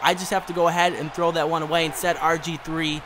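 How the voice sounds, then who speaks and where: A young man with a hoarse voice from a cold, doing upbeat gameplay commentary straight to his viewers. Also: a little high, flat delivery, a crowd in the background, noisy background. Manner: upbeat, fast-talking, speaks straight to viewers. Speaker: gaming YouTuber. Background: background music.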